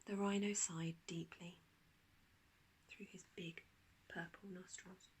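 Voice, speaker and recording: A woman narrating a children's storybook like a teacher reading aloud, expressive, with dramatic pauses. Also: lowish voice, slight background noise.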